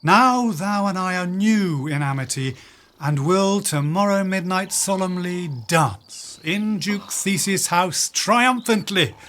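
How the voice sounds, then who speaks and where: Man as stately fairy king for radio Shakespeare, high-pitched and deliberate, slight noise on the recording. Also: fairly bright tone. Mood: commanding, jubilant. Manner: stately, deliberate verse delivery. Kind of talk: Shakespearean radio play acting.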